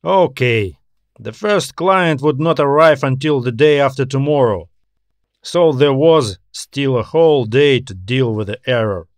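A man's voice: mid-range voice, measured pace, no echo. A man narrating a scripted science fiction story in audiobook style. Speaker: author narrating his own story.

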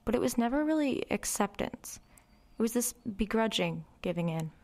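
A woman's voice: a little high, animated, moderate pace, darkish tone. A woman playing a wry, reflective narrator recalling her troubled girlhood in a confiding first-person dramatic narration.

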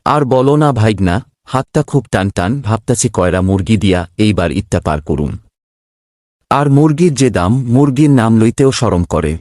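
Man voicing a low, resigned cartoon tiger. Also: expressive, normal speed, darkish tone, dry recording, clean studio sound, natural voice. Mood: resigned, embarrassed. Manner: grumbling, resigned. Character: sheepish, hard-up uncle tiger. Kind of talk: Bengali cartoon dialogue.

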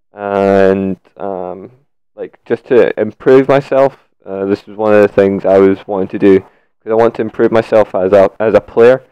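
A young man with a croaky, sore-throated voice, giving laid-back, rambling commentary over gameplay. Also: fairly low pitch, mellow, no room sound. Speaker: gaming YouTuber. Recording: dull lo-fi mic.

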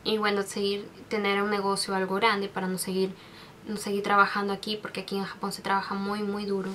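A woman, chatting softly and answering viewer questions into a webcam, with many restarts. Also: mid-range voice, completely flat delivery, a little fast, a little hiss or hum. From Peru. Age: 20s. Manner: soft, sentimental, many restarts and fillers, reads out questions. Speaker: beauty vlogger. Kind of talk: casual Q&A tag video. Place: bedroom with makeup shelves, indoors. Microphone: webcam at desk.

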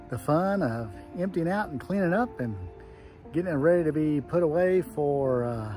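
A man in his sixties, talking casually and easily, with many "uh" fillers, as he films outdoors on a phone held at arm's length. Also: fairly high pitch. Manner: relaxed, easygoing, with frequent "uh" fillers. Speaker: RV travel vlogger. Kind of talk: casual travel vlog narration. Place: outdoors at campground, gas station and driveway.

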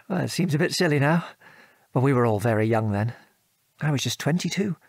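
A man's voice: medium pitch, lively intonation, normal speed, creaky, dry recording, clean studio sound. An actor playing an affectionate British father and former wartime air force officer, patiently reminiscing in an audio drama. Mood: fond, amused. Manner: chuckling, patient, old-fashioned British turns of phrase.

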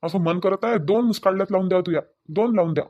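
A man's voice: sits high, very fast, darkish tone, lo-fi sound. A man playing a hot-tempered, worried village husband with a rural Vidarbha Marathi accent, firing repeated questions in an amateur family drama. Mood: angry scolding. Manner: scolding, repetitive rhetorical questions.

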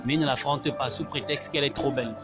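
A man's voice: medium pitch, fairly even intonation. A man in his thirties with a Cameroonian French accent, interviewing passers-by outdoors on a campus and pressing them with repeated questions. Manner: probing, repeats questions, quick to laugh. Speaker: street interviewer. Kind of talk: vox pop street interview. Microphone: handheld phone held out to guests. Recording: loud background music.

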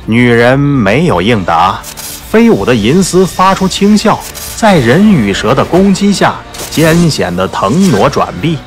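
A man's voice: medium pitch, sing-song, measured pace, soft background music. A man narrating a martial arts novel as a dramatic audiobook.

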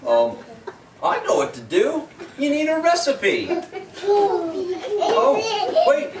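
A bumbling, good-natured cartoon dog puppet, with a raised pitch that swoops around. It is picked up from far off in an echoey, noisy room. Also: wildly swooping pitch, takes it slowly, heavily acted. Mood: bumbling, worried. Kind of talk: children's puppet show dialogue.

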